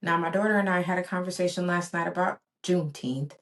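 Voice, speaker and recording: A woman's voice: lowish voice, steady tone, fast talker, a bit bright. A woman in her forties, born in Barbados and raised in the US, hosting a reflective solo podcast in an earnest, rhythmic way with repeated emphatic phrases. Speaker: podcast host.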